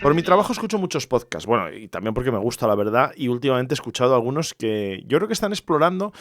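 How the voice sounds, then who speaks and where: A man's voice: medium pitch, sing-song, fast talker, no echo, studio quality, soft background music. A man from hosting a podcast alone in an informal, conversational, explanatory way. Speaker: podcast host. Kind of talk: conversational solo podcast monologue.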